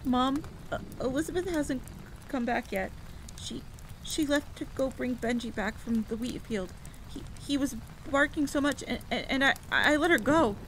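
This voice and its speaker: A woman's voice: high-pitched, moderate pace, fairly bright tone, voice pushed up, poor audio. A woman voicing an anxious young farm girl in an audio story, stammering and pleading breathlessly. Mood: nervous, stammering. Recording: clear background noise of rain and thunder.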